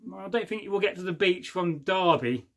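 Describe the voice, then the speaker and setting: A man in his forties with a voice a little higher than most men's. He hosts a relaxed livestream, chatting in a rambling, jokey way and often losing his thread as he reads out viewers' comments. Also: fairly quick. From England. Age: 40s. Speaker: livestream host. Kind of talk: casual livestream chat reading viewer comments.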